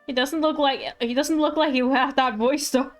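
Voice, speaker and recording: A woman's voice: high-pitched, fairly even intonation, normal speed. A woman doing casual live commentary on a game, chatty, playful and rambling. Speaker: game livestreamer. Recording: livestream with game music behind. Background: game music.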